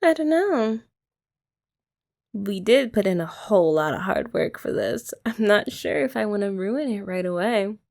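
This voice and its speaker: A young woman doing a soft, teasing, affectionate ASMR girlfriend roleplay, often laughing, close to the mic. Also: medium pitch, animated, measured pace, gravelly.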